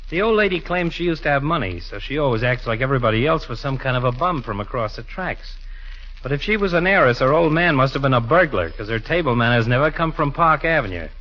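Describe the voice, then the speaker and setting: An actor playing a chatty, wisecracking small-town American barber with folksy, slangy talk in a 1940s radio comedy. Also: male voice, medium pitch, expressive, brisk, fairly bright tone. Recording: muffled 1940s radio recording.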